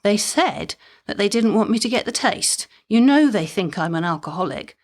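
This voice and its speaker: A woman's voice: mid-range voice, expressive, normal speed, lightly acted. A woman playing a chatty, wry mother with a mischievous streak in an audio drama comedy, speaking conversationally with dry timing. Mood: dry, confiding.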